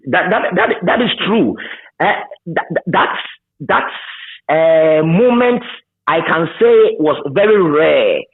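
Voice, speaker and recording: Male radio presenter as guest, Ghanaian accent, high voice, emphatic repetitions, tinny telephone-quality audio. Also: animated, slow, slightly rough. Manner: emphatic, repeats words, hesitant searching starts. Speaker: radio presenter being interviewed. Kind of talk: reminiscing in conversation. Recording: tinny phone-like line.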